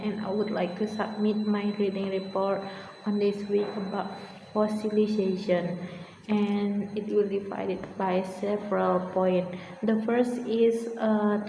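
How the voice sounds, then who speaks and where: Slow, hesitant Indonesian-accented young woman. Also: mid-range voice, dark tone, slight background noise. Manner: hesitant, many fillers and restarts. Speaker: student. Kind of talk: spoken reading report. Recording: echoey room with faint ambience.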